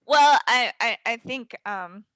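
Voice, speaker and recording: A woman speaking in an animated, emphatic way as a guest acting teacher, answering casually in an interview. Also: on the high side, takes it slowly, no room sound.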